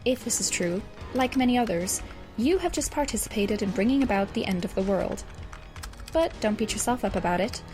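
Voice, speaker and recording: A wry, articulate teenage girl voiced by a woman in an audio drama monologue. She speaks in an ordinary female register, dry and wordy. Soft music sits underneath. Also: medium pitch, expressive, brisk, natural voice. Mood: dry, grave. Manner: verbose, dry, sardonic. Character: wry, erudite teenage girl writing a doomsday game walkthrough.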